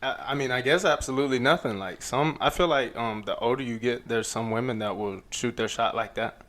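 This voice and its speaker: Young man, podcast co-host, ordinary pitch, easygoing, hesitant, casual chat. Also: brisk, fairly bright tone.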